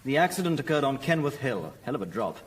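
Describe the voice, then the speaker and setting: An actor with a British accent playing a keen, deferential police subordinate in a radio drama, questioning and a little wry. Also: male voice, medium pitch, big pitch swings, quick pace, slight background noise, close to an everyday voice. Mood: matter-of-fact. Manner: eager, questioning, slightly wry. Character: deferential male police subordinate, keen, doubting, drily cheeky.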